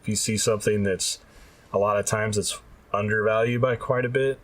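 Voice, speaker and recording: A man's voice: lowish voice, steady tone, fairly quick. A man talking casually as a podcast co-host, sounding a little distant from the microphone.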